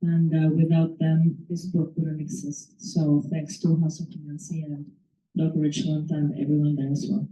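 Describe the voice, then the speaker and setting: A woman's voice: low voice, moderate pace. A woman from Mexico whose first language is Spanish, reading one of her short stories to an audience after a hesitant introduction. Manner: hesitant with many filler words, then steady reading. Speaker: author reading her story. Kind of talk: reading a short story aloud. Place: bookstore or small venue reading. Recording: distant mic, echoey room, dull sound.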